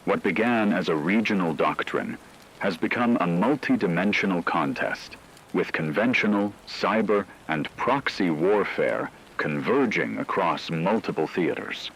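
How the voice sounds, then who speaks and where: A man voicing a grave radio war correspondent, reading a scripted news report in a formal, measured way. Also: low voice, animated, a bit bright, breathy edge, full character voice, poor audio.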